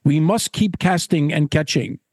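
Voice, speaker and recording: A man's voice: a little high, a little slow, a little mellow, dry recording, clean studio sound. A man voicing an insistent but deferential fishing gillie in a spoken fable. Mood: firm. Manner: polite, relentless. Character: insistent, deferential male fishing gillie, urging his client on. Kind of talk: acted storytelling of a fishing fable.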